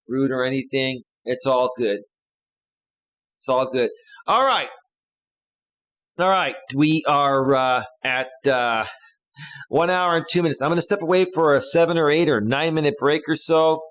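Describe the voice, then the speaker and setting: A man's voice: mid-range voice, fairly even intonation, a little slow, long pauses, fairly bright tone, clean tone. A man hosting a casual live stream as a life coach, joking loosely with his chat viewers. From United States.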